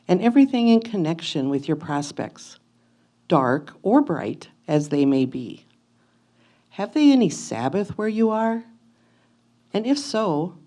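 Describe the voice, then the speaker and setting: A woman's voice: low-pitched, dark tone. A woman playing a devoted, anxious 1850s wife, reading her letter to her husband in an earnest, tender, old-fashioned manner for reader's theatre.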